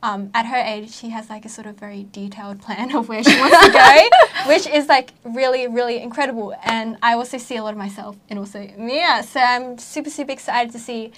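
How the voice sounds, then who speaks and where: Bright, chatty young woman podcast host. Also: a little high, a little fast, hardly any pauses. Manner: chatty, giggly, lots of "like" fillers. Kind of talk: casual chat.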